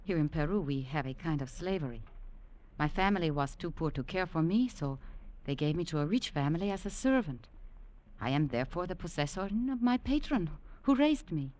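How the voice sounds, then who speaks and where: An actress playing a desperate young Peruvian servant woman, formal and halting, in a 1940s radio drama. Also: low-pitched, lively intonation, darkish tone, low-quality recording. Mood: pleading, desperate.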